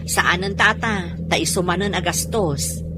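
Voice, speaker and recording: A woman's voice: fairly low pitch, a little slow, heavy background noise, close to an everyday voice. A woman acting a weary, haggling homecoming overseas worker in an Ilocano radio drama, speaking with an Ilocano accent. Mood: tired. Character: weary, haggling Ilocano woman returning home from domestic work abroad.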